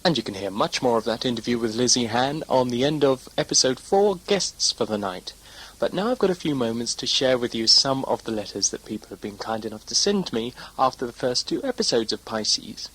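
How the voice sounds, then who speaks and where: A man's voice: mid-range voice, lively intonation, fairly quick, a bit bright, slight background noise, low-quality recording. A man presenting in a chatty, scripted style, reading letters aloud expressively as host. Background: music.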